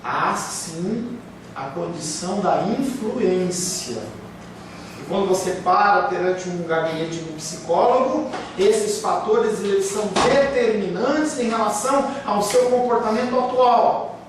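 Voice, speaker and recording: Brief: pastor, male, high voice, emphatic sermon delivery, distant mic. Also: a little slow. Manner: emphatic, rhetorical questions, acts out quoted excuses. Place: church hall. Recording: very echoey room, speaker far from mic, faint hiss.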